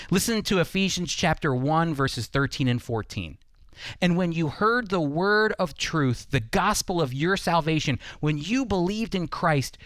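A man with a fairly high-pitched voice delivers a prepared sermon. He teaches in an earnest, instructive way, addressing the listener directly. The sound is dry, with hardly any room around the voice. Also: dry recording. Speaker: preacher hosting a Bible teaching program.

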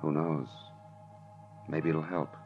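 An American actor playing a shrewd, world-weary private detective in 1940s radio drama, with a clipped, wry, emphatic delivery. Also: male voice, very deep voice, level tone, slow pace, heavily acted. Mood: weary, reflective. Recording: muffled old radio broadcast, music behind.

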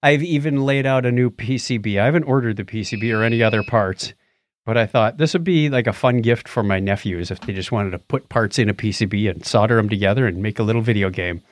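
A man hosting a lighthearted tech podcast with playful, animated banter. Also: fairly low pitch, quick pace. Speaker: podcast host.

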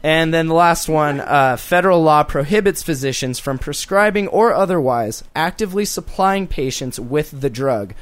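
A man's voice: fairly high pitch, expressive, moderate pace, hardly any pauses, no room sound. A man co-hosting a casual talk radio show, joking and slipping into mocking put-on voices.

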